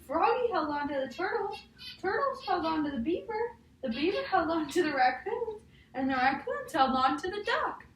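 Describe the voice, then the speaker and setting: Echoey, higher-pitched young woman reading to a child. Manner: encouraging, checks in with questions. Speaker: student teacher reading aloud to a child. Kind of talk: picture-book read-aloud with questions to a child. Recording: echoey room, distant mic, faint noise.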